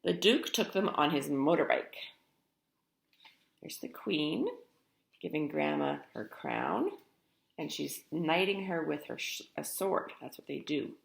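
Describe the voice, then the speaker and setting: A woman's voice: low voice, very animated, slow, creaky, long pauses. A woman reading a children's story aloud in a theatrical, emphatic way, with chatty asides to the listener. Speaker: storybook reader.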